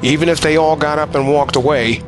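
A man's voice: a little high, fairly even intonation, brisk, music in the background, close to an everyday voice. A man playing a sober, weary young soldier in a war audio drama. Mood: weary doubt.

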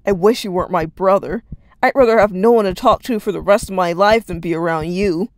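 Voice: fairly quick, slight background noise, close to an everyday voice. A storyteller voicing a whiny, hurt teenage boy who pleads with his older brother. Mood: angry, shouting. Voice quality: whining, high and pleading.

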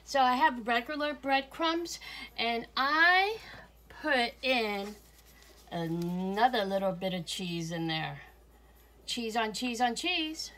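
Slow, homey woman cooking in her kitchen. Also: a little high, lively intonation, a bit bright. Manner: homey, unhurried, chuckles often. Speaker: home cooking vlogger. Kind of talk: casual cooking demonstration. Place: home kitchen, indoors. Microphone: fixed camera at counter, speaker moving around.

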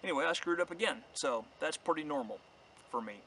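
A man's voice: on the high side, animated, brisk. A man in his fifties talking casually to camera as an outdoor channel host, plainspoken and self-deprecating. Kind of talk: casual vlog talk to camera. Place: outdoors in woods. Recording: clear outdoor ambient noise.

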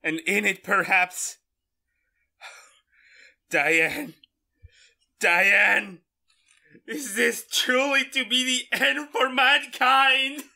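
A desperate hero of a radio horror play performed by a man. His voice is very high and piercingly tense, with halting, drawn-out, anguished delivery and a creaky edge. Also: fairly even intonation, sharp tone, heavily acted. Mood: exhausted, grieving. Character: terrified, desperate man fighting a monster to save mankind. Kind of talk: retro radio horror drama acting.

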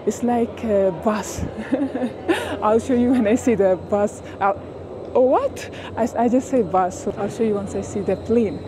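A woman's voice: a little high, a little slow. A young woman with an Ethiopian accent, chatting casually to camera as a travel vlogger. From Addis Ababa, Ethiopia. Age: young adult. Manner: informal, addresses viewers as guys. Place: busy airport, tarmac and plane cabin. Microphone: clip-on mic, selfie camera at arm's length. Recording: noisy airport and plane cabin ambience.

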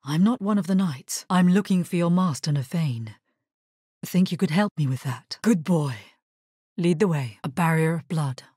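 A woman playing a grave, resolute necromancer hero in a video game, husky-voiced, speaking in a measured, solemn and terse way. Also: low voice, darkish tone, dry recording, studio quality, heavily acted. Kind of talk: scripted video game character lines.

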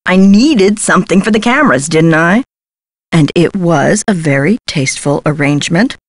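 Scheming female talent agent, lower-pitched, slangy, dismissive, brash, video game dialogue, close dry recording. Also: animated, moderate pace, no echo. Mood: defensive, glib. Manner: slangy, glib, condescending pet names.

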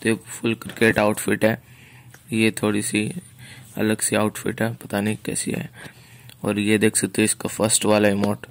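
A man's voice: lowish voice, completely flat delivery, moderate pace. A man with an Indian accent giving casual game showcase commentary, often repeating his phrases. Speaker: gaming video creator and host.

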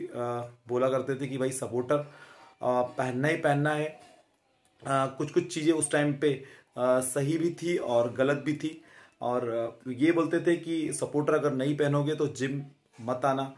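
A man in his thirties giving a casual fitness talk straight to camera, chatty and friendly, often pausing with filler sounds and tag questions, recorded on a phone held close in a small room. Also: on the high side, steady tone, darkish tone. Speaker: fitness vlogger.

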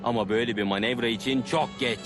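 An actor playing a dutiful environmental patrol pilot in a cartoon dub, reporting in a matter-of-fact way. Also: male voice, mid-range voice, steady tone, fast, over music, natural voice. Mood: resolute, businesslike. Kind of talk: animated educational cartoon dub.

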